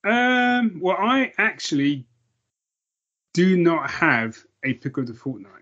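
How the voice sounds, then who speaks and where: A man's voice: sits high, big pitch swings, slow pace, bright, forceful tone, lo-fi sound. A man co-hosting a casual podcast, easygoing and rambling, with self-deprecating asides. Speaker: podcast co-host. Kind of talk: casual chat.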